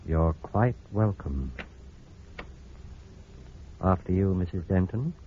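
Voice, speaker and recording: Casting: authoritative doctor and spurned suitor, vintage radio play, very low voice, muffled hissy recording. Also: male voice, animated, takes it slowly. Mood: professional, soothing. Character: bitter, controlled eye surgeon, a spurned former suitor. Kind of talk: old-time radio drama acting.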